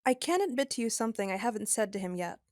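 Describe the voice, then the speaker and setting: Casting: actress as young female slicer in audio drama, highish voice, earnest and candid, dry recording. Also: animated, fast, dry recording, natural voice. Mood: wary, candid. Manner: candid, conversational. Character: earnest young woman hacker, nervous but loyal.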